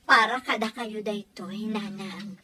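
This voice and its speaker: A woman's voice: medium pitch, steady tone, a little slow, background noise, lightly acted. A woman acting an emotional, plaintive wife and mother in an audio drama, with a Filipino accent. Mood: subdued, regretful.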